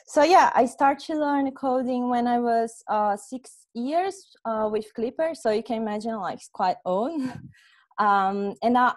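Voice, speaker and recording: A young woman from Brazil speaks with a Brazilian accent at an average pitch. She presents informally on a video call, pausing often in a conversational way through an earphone headset. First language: Portuguese. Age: young adult. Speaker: presenter, software testing specialist. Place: small room at home, indoors.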